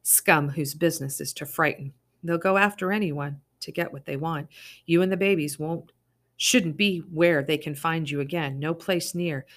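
A woman doing a brisk, kindly old neighbor woman. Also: low-pitched, close to an everyday voice. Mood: urgent.

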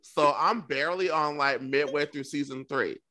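A man's voice: a little high, moderate pace, bright, forceful tone. A man co-hosting a casual, gossipy chat show, talking in sassy, campy slang. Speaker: podcast co-host. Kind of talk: casual gossipy chat about reality television.

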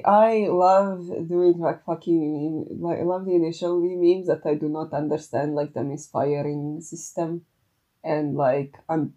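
Podcast co-host: young woman, slightly low voice, loose rambling talk, swears freely. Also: dark tone. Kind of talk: casual unscripted chat.

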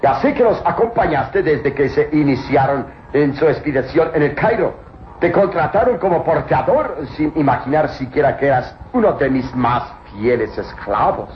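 A man's voice: on the high side, expressive, normal speed, lightly acted. A man acting a sneering villain with swooping delivery. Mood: scornful, impatient. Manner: mocking, gloating, with theatrical exclamations. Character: sneering, cruel male villain, arrogant tomb-robbing master of slaves. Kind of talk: old radio serial acting. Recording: muffled, noisy old radio drama recording.

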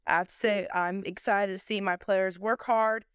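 A woman's voice: mid-range voice, steady tone, unhurried, a bit bright, smooth, no room sound, clean studio sound. A woman speaking as a sports coach in an interview, with emphatic, repetitive, driven motivational phrasing.

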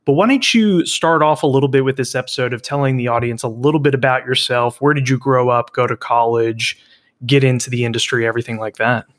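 A man's voice: mid-range voice, a little fast. Chatty young male podcast host. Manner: upbeat, chatty, filler-heavy. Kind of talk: podcast intro and interview hosting.

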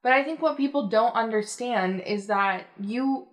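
A young woman in a casual conversation, explaining ideas in a measured way with frequent fillers. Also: on the high side.

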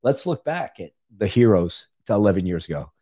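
A man's voice: fairly low pitch, sing-song, brisk, mellow, breathy edge. A middle-aged man, a guest on a podcast, telling stories emphatically and chiming in with "right" as he chats casually. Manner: emphatic storytelling, frequent "right". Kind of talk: casual conversation.

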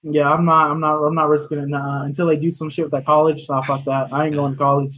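Young guy, slightly high voice, easygoing swearing chat about plans. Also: flat delivery, fast, fairly bright tone. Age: young adult. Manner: loose, profane, drawling slang. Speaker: high school senior. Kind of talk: casual chat among friends.

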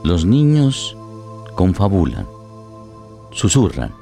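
Deep, dark-voiced man narrating drama. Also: huge pitch swings, takes it slowly, creaky, soft background music. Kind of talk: dramatic scripted radio theatre narration in Spanish.

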